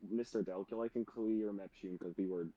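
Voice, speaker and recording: A man's voice: fairly low pitch, steady tone, a little fast, mellow. A man with a hesitant, stammering way of talking, discussing a game casually. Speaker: player in a group online game. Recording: dull lo-fi voice chat.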